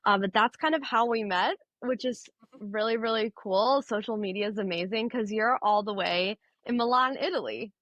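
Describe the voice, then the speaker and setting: A young woman hosts a podcast with gushing enthusiasm, a middle pitch and an easy flow. The recording is dry and close, with no room sound. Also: moderate pace, fairly bright tone, no echo, dull audio. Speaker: podcast host. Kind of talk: podcast interview hosting.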